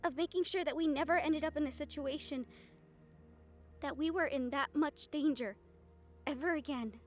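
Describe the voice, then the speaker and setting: A woman playing a guarded, protective young fiancée in an intimate audio roleplay drama, speaking earnestly and emphatically with deliberate pauses. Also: high voice, faint music behind, close to an everyday voice. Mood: regretful.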